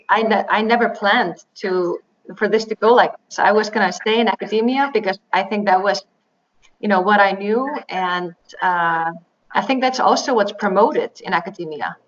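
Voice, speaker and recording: A woman, answering interview questions in English with many pauses, fillers and restarts. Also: mid-range voice. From Iceland. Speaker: interview guest, biotech executive. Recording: slightly dull, faint noise.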